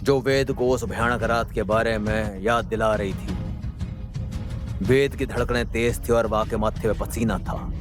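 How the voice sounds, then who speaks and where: A man's voice: on the low side, steady tone, unhurried. A man with a Haryanvi accent narrating a scripted audio drama in a dramatic storytelling style. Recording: music under the voice. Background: background music.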